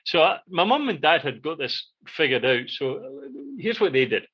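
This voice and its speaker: An older Scottish man reminisces casually in a voice pitched on the high side. His intonation swings freely and he repeats phrases to drive a point home. The sound is a little dull and lo-fi. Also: moderate pace, slightly rough. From Scotland. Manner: animated, repeats phrases for emphasis. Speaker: podcast co-host. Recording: dull, muffled lo-fi audio.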